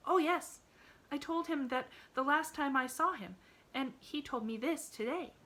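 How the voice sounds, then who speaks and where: Woman voicing a sweet loyal little girl, high pitch, eager, bright. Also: a little slow. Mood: protesting.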